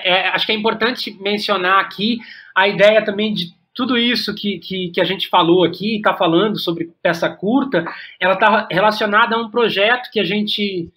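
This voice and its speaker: A man's voice: high voice, fairly even intonation, moderate pace, sharp tone. A man with a Brazilian accent in a reflective interview, speaking as a guest playwright and often repeating words while he thinks aloud.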